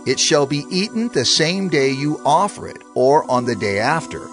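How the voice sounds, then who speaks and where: A male narrator of mid pitch reads scripture, his pitch rising and falling freely. A clear music bed runs under his voice throughout. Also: animated, moderate pace, a bit bright.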